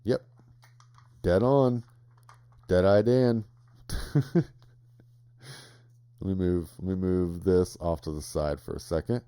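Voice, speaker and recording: Casual home vlogger, man, low mellow voice, dry and crackly, rambling with chuckles. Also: slow pace, long pauses, no room sound. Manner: relaxed, rambling, chuckles often, many restarts. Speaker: vlogger unboxing gear. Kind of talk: casual late-night unboxing chat. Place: home, late at night.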